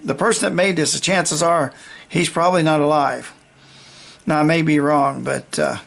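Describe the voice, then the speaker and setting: An older man giving a casual, unscripted demonstration of vintage gear, talking in a folksy, halting, rambling way. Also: a little high, vocal fry, slightly rough.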